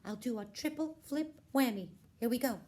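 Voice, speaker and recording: A woman's voice: a little high, normal speed, dark tone, natural voice. A woman voicing a dramatic, fussy and frank young princess in a children's puppet show. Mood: exasperated.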